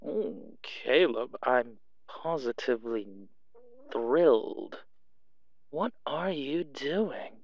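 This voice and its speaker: A sly male fixer for an audio roleplay, acted by a man, drawling very slowly and theatrically. He is coy and teasing, always prying, and the dry recording sounds slightly dull. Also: mid-range voice, animated, no echo. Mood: prying, delighted.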